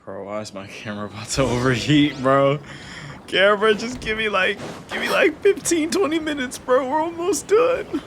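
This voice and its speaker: A man's voice: high-pitched, very animated, normal speed, a bit bright, a little hiss or hum. A young man giving casual, slangy reaction commentary as a gaming streamer. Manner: slangy, informal.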